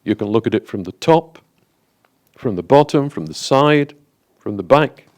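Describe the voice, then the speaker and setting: A man leading a guided meditation in a calm, gentle, deliberate way, leaving frequent pauses between phrases. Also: medium pitch.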